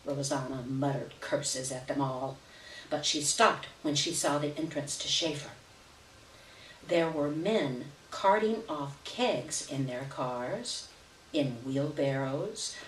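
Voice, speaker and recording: Low-voiced woman reading fiction in a roomy space. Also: slow, slight background noise. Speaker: reader of a short story at a live event. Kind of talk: live literary reading, scripted narration. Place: indoor venue, live stage reading. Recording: roomy echo, distant mic at live event.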